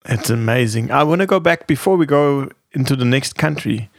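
Gravelly-voiced male podcast host. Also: mid-range voice, lively intonation, normal speed, no room sound, studio quality. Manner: conversational, prompting the guest. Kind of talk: interview podcast hosting.

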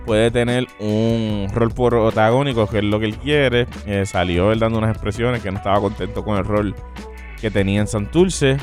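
A man with a Puerto Rican accent co-hosts a casual sports podcast in a lowish voice. He teases his partner and fires off quick strings of denials. Faint music plays underneath. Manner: animated, teasing, repeats "no, no, no". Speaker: podcast co-host.

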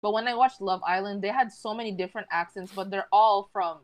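A woman of middle pitch chats excitedly on a podcast, rushing through anecdotes with plenty of "like"s. Her voice sits close and dry on the mic. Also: dry recording. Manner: excitable, animated storyteller, lots of "like". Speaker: podcast co-host. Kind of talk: casual chat.

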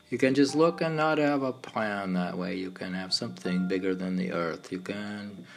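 A man reading his own meditative poems in a halting way, now and then repeating or stumbling over words. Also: on the low side, creaky. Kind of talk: slow meditative poetry reading. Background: piano and keyboard music.

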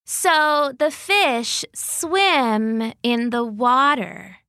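A woman voicing Goldie, a dim but eager female bear who talks slowly and halts over her words. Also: high voice, pushed and bright, no echo, studio quality, heavily acted. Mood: slow, careful. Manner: halting, slow, full of fillers.

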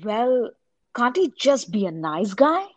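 A woman's voice: on the high side, very animated, takes it slowly, darkish tone, lo-fi sound, exaggerated voice. A woman playing a skeptical scientist in an audio drama. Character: skeptical female scientist questioning her worried friend.

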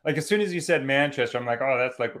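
A man's voice: medium pitch, fast, fairly bright tone. A man in his forties from western Canada, talking casually as a podcast guest, rambling and correcting himself with jokey asides. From Calgary, Alberta, Canada. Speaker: guest, professor and writer. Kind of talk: casual conversational interview.

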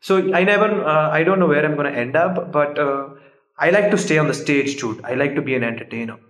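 Fast-talking young South Indian man, echoey room. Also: a little high, lively intonation, a bit bright. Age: young adult. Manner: chatty, laughing, mixing in Telugu. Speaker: podcast guest. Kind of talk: casual chat.

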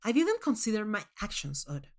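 A woman reads a first-person literary short story as its narrator. Her mid-pitched voice moves widely up and down, giving the scripted prose an expressive, measured storytelling feel.

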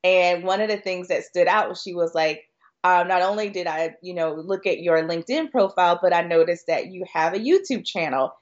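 A woman's voice: on the low side, highs cut off. An American woman answering conversationally as an expert guest on a podcast, chatty and inclined to act out short quotes. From Florida, United States.

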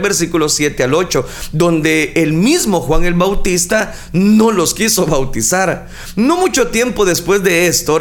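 A man preaching a sermon, speaking earnestly and addressing the listeners directly, with frequent pauses and fillers. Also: a little high. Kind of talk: sermon on scripture.

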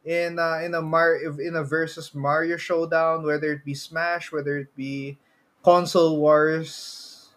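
A man talks casually as a podcast co-host. His voice sits a little high for a man. He speaks in a halting way, often repeating a word as he finds the next phrase. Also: flat delivery, fairly bright tone. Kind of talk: casual chat about movies and games.

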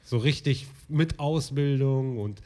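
A man's voice: medium pitch, slow. A man born in Morocco and raised in Germany, chatting casually and telling stories with frequent hesitations. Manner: relaxed storytelling, frequent hesitations. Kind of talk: casual conversation.

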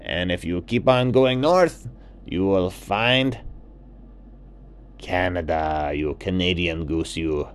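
A man voicing a welcoming, helpful toucan in a children's bedtime story, with a courteous, drawn-out, emphatic delivery. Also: sits low. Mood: instructive, friendly. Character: welcoming, helpful male Costa Rican toucan.

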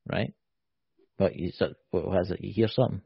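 A man co-hosting a podcast, husky in tone, tossing out one wild theory after another and checking in with "right?" His mid-range voice sounds dull and lo-fi, captured dry with no room around it. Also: low voice, moderate pace, no echo. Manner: animated, speculative, keeps asking "right?". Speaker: podcast co-host. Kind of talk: casual chat.

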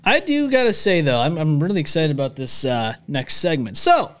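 A man's voice: a little high, very animated, moderate pace, noisy background. A man hosting a podcast, speaking casually in a group chat. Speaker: podcast host.

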